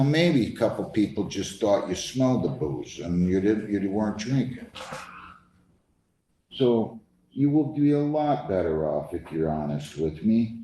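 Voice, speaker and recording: Male judge, low mellow voice, stern and interrupting, questioning from the bench in an echoey room. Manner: stern, firm, interrupts and repeats himself. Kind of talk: courtroom questioning and admonishing. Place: courtroom. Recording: echoey courtroom, faint background noise.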